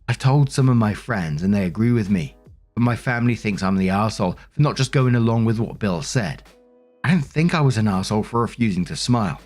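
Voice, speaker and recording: Male story narrator, low register, scripted reading plus off-the-cuff host remarks, soft music bed behind. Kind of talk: scripted story narration with casual spoken commentary.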